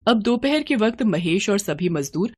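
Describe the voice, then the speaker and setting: A woman's voice: mid-range voice, expressive, moderate pace, husky. A woman reading scripted narration for a moral tale. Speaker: story narrator.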